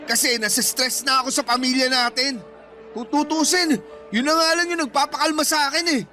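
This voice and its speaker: High-voiced, indignant older brother. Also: male voice, steady tone, brisk, pushed and bright. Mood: hurt anger. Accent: Filipino. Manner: emotional, wounded, indignant. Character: resentful, hard-pressed older brother in his thirties, family breadwinner. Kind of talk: Filipino radio drama acting. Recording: music bed under the voice.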